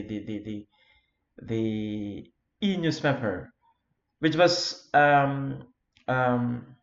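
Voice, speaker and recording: An Indonesian-accented man from Flores talks through an informal lecture in English, stopping often on fillers and repeating words as he searches for them. His voice sits at an ordinary pitch. The recording is dull and lo-fi, with a roomy echo. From Flores, eastern Indonesia. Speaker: founder of an English learning community. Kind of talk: informal self-made video lecture. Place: Europe.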